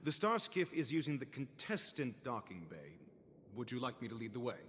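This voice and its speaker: A man's voice: medium pitch, sing-song, normal speed, a bit bright, slight background noise. A man playing a polite, dutiful soldier in an anime game, speaking formally and articulately. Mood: polite, helpful. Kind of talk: scripted anime game voice acting.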